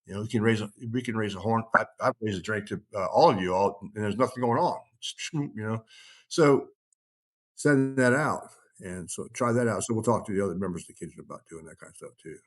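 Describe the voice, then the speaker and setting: A man's voice: on the low side, brisk. Earnest, folksy middle-aged man chatting. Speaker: podcast host. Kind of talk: casual rambling group discussion.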